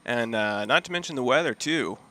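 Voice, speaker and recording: A man calmly commentating on a live freediving competition, admiring and easygoing, with frequent filler pauses. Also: mid-range voice, slight background noise. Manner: relaxed, admiring, many fillers. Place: outdoors at a seaside dive event.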